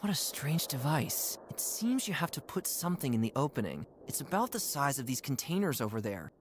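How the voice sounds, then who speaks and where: A young actor voicing an earnest, puzzled animal adventurer in a cartoon game, wondering aloud with expressive observations. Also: mid-range voice, brisk, slight background noise, natural voice. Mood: puzzled, resigned.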